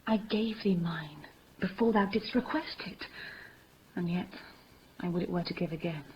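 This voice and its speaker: A woman's voice: lowish voice, normal speed, natural voice. An actress playing an impulsive, tender young noblewoman in love, speaking theatrical Shakespearean verse in a 1950s radio play style. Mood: tender, adoring. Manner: breathy, theatrical verse delivery, often hushed. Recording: noisy, dull vintage 1950s radio broadcast.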